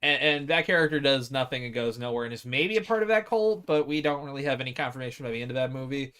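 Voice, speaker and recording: A man casually discussing a film with friends, talking in a halting, rambling and sweary way. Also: mid-range voice, expressive, a bit bright, smooth. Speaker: podcast co-host.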